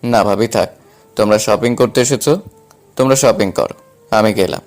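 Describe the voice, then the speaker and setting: A man's voice: fairly low pitch, normal speed, soft background music, lightly acted. A man playing a flustered, cheeky but timid younger brother in an acted audio story. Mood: uneasy.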